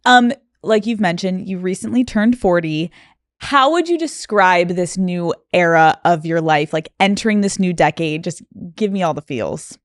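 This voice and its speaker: Chatty woman host, mid-pitched and dry. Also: expressive, moderate pace, no room sound. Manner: enthusiastic, supportive, swears casually, mimics voices. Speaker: podcast host. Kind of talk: interviewing, casual chat. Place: podcast studio.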